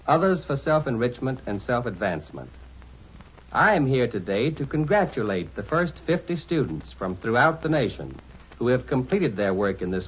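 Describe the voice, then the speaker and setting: A man giving a formal, deliberate scripted radio address as a university president. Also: medium pitch, breathy edge. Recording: dull vintage radio broadcast recording.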